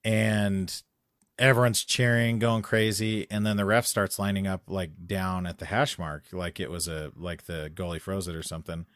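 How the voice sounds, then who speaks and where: A man in casual chat, telling a long story that gets louder and more animated as it goes. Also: low voice, normal speed, clear, resonant tone, dry recording, studio quality. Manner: animated storytelling, rising loud when excited.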